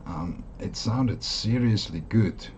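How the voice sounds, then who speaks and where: Very deep-voiced South African man reviewing gear. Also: darkish tone, slight background noise. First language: Afrikaans. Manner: halting, many fillers and restarts, enthusiastic asides. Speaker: earphone reviewer. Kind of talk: casual product review.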